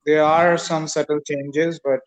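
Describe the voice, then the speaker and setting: A man's voice: on the high side, little pitch movement, measured pace, rough recording. A man hosting a casual video-call conversation to practise English, asking short, careful questions. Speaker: host, English learner.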